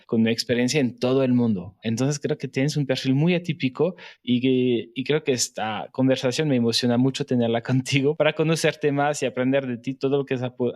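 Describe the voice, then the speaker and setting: Anfitrión de podcast, voz media. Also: male voice, medium pitch. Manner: chatty, restarts sentences. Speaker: podcast host. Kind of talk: podcast interview.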